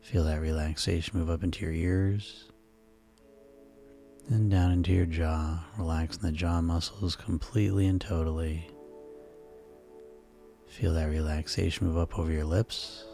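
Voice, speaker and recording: Very deep-voiced male hypnotist over music. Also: fairly even intonation, gravelly, mellow. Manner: slow, soothing, repetitive guidance. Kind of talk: guided sleep hypnosis.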